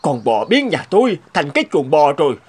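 A man's voice: a little high, sing-song, brisk, darkish tone, husky. A man voicing a middle-aged, hot-tempered, gullible peasant husband in a folktale cartoon, exclaiming and grumbling. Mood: angry complaint. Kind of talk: animated folktale cartoon dubbing. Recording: background music under dialogue.

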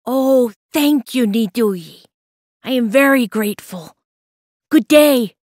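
A woman's voice: on the high side, expressive, slow, dry recording, clean studio sound, voice pushed up. A woman voicing a brave, polite young boy explorer in a children's story, with an earnest, eager manner. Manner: bright, earnest, courteous.

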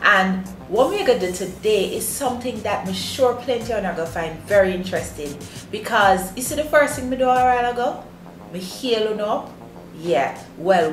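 Jamaican woman teaching in an echoey room. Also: mid-range voice. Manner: animated, emphatic, clearly repeating phrases. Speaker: online language teacher, channel host. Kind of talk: presented language lesson with acted examples. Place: small indoor room against a plain wall.

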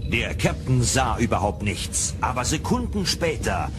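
A man's voice: mid-range voice, sing-song, measured pace. A man narrating a science fiction radio drama in a scripted storytelling style. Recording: music under the voice. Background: loud dramatic music.

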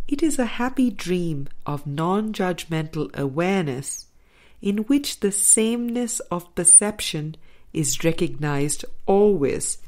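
Slow, low-pitched woman reading an audiobook. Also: dark tone. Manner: measured, deliberate phrasing. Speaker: audiobook reader. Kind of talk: scripted audiobook narration of a spiritual text.

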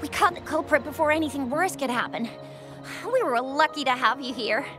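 An actress voicing a brisk, friendly young patrol officer in a video game, casual and direct. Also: female voice, high voice, music in the background, natural voice.

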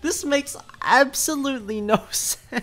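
A young man narrating a video review of a film as a voice-over, talking in an animated, incredulous way with little laughing asides. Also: very high-pitched, a little slow. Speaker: YouTube commentator. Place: voice-over over gameplay footage.

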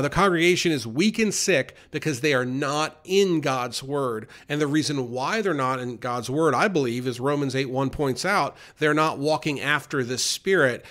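A man's voice: medium pitch, fairly bright tone. A man preaching an informal sermon in an earnest way, with many fillers and restarts.

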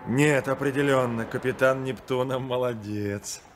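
An actor playing a courteous, authoritative ship's captain who speaks in measured commands in a radio drama. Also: male voice, medium pitch, steady tone, faint music behind, close to an everyday voice. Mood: admiring, commanding. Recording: 1975 radio play with background noise.

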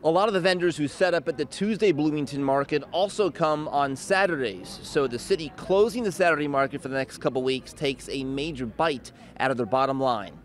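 A man narrating a local TV news report in an even, measured newsreader delivery. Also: fairly high pitch, slight background noise.

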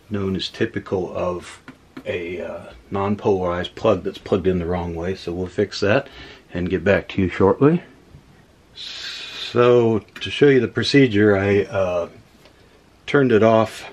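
A man casually demonstrating old equipment at a workbench, unhurried and thinking aloud with many fillers. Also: low voice, fairly even intonation, breathy edge. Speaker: electronics hobbyist host. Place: workbench room indoors.

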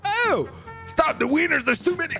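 Excitable guy hosting a gaming roleplay vid, voice swings all over, music behind him. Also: very high-pitched, normal speed. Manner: animated, exclamatory, swearing. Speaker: host, gaming video creator.

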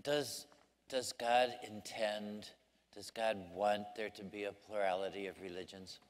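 Male panelist, lowish voice, measured and hesitant, speaking in a reverberant hall. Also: steady tone, a little hiss or hum. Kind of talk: unscripted concluding remarks.

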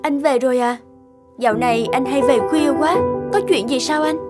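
A woman's voice: high voice, normal speed, over loud music, lightly acted. A woman voicing a sweet, evasive young wife in a cartoon. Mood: apologetic. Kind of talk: Vietnamese cartoon dubbing.

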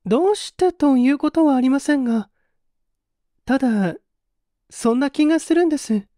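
A male reader puts on a demure young daughter in an old mystery story. The voice is very high and mellow, recorded dry. She speaks softly and formally, with gentle, polite phrasing. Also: expressive, normal speed, no echo. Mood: hesitant, questioning. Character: polite, grateful young woman, devoted daughter, gently inquisitive.

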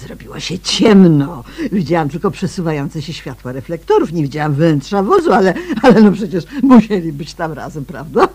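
A woman's voice: low voice, animated, normal speed, few pauses, darkish tone, husky. An actress playing a chatty, flustered female neighbour who repeats herself in a radio play. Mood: gossipy. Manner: hesitant, repeats words, gossipy.

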